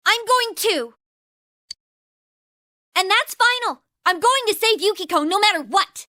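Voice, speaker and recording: A fiery teenage girl from a video game, with a very high, bright voice. She is stubborn and emphatic, exclaiming and giving orders at an unhurried pace. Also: lively intonation, dry recording, clean studio sound, full character voice. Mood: determined. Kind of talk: English video game dub voice acting.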